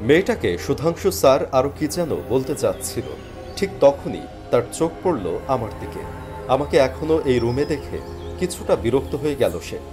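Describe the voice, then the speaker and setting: A man plays an earnest, downhearted young job seeker narrating a cartoon thriller in the first person. His middle-pitched voice rises and falls dramatically. Music runs clearly underneath. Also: normal speed, close to an everyday voice. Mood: suspenseful. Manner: expressive, earnest.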